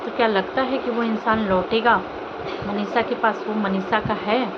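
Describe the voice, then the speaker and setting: A woman's voice: on the high side, fairly even intonation, normal speed. A woman from India vlogging informal, opinionated commentary to viewers in an emotive way, with rhetorical questions and repetition. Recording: dull lo-fi audio, loud vehicle noise.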